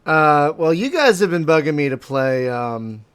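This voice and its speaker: A man with a loud voice hosting a casual podcast chat from home over an online call. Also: fairly high pitch, big pitch swings, moderate pace, clean tone. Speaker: podcast host.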